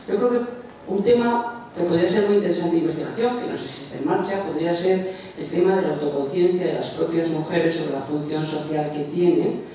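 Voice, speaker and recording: A woman's voice: low-pitched, a little fast, warm tone. A woman with a Spanish accent from Spain speaks hesitantly from the floor, offering a comment at an academic panel. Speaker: audience member making a suggestion at an academic panel. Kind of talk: spontaneous public comment from the floor. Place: large echoey indoor conference room. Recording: echoey room, distant mic, faint noise.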